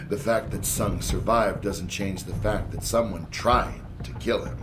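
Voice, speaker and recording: An actor voicing a cold, calculating middle-aged spymaster in a video game, measured, formal and condescending. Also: male voice, on the low side, fairly even intonation, background noise, natural voice. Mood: cold, disdainful. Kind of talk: video game cutscene dialogue.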